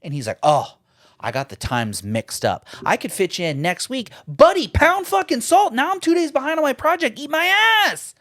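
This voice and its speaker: A man's voice: moderate pace, no room sound, studio quality. Ranting, cussing young guy podcaster. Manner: profane, animated ranting with big pitch jumps. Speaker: podcast co-host. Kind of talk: casual chat.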